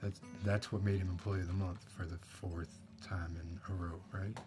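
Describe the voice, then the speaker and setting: A middle-aged man playing a shifty, awkwardly neighborly backwoods man in a quiet, deadpan, halting ASMR roleplay. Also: low voice, natural voice. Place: dim indoor room. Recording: close recording with music behind.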